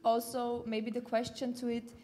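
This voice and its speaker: A woman moderating a conference panel, briskly gathering questions from the audience and managing the time. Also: on the high side, monotone, dark tone. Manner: brisk, organizing. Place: conference hall.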